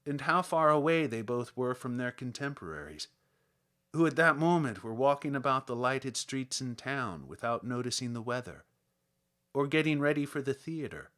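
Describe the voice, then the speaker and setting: A man reads a literary story aloud in a bright, crisp-edged voice. His pitch is mid-range and moves freely up and down. The delivery is that of an expressive audiobook storyteller. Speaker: audiobook reader.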